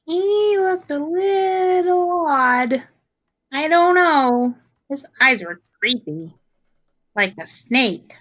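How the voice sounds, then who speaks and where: A woman's voice: high voice, expressive, very slow, a bit bright, full character voice. A woman playing a naive, candid, childlike adventurer in a tabletop roleplaying game, with simple blunt remarks. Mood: uneasy.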